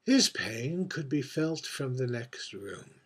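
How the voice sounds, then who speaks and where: A man playing a world-weary, wry drag performer in a theatrical monologue, confiding and deliberate, moving between hushed murmurs and emphatic phrases. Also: mid-range voice, big pitch swings, husky, full character voice. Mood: rueful, wry. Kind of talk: theatrical dramatic monologue read by its author.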